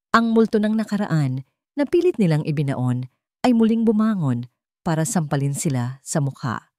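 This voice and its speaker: A woman's voice: low voice, a little mellow, dry recording, studio quality. A woman delivering scripted, dramatic narration of a fictional story as a storyteller.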